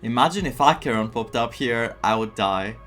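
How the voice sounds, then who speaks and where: A man's voice: mid-range voice, lively intonation, normal speed, fairly bright tone, faint music behind. A man who streams games, giving live reaction commentary in short, dramatic interjections. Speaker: gaming streamer.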